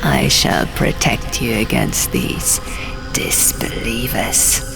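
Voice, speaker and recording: Woman voicing a slow, imperious old male scribe. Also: rumbling low, gravelly, music in the background, pitched below the performer's own voice, heavily acted. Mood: smug menace. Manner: slow, solemn, condescending. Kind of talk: audiobook character voice.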